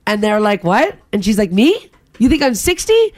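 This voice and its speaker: Female radio co-host, lively and chatty, reads texts on air. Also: medium pitch, moderate pace. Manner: lively, laughs often, reads texts and phone numbers on air. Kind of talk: morning radio talk.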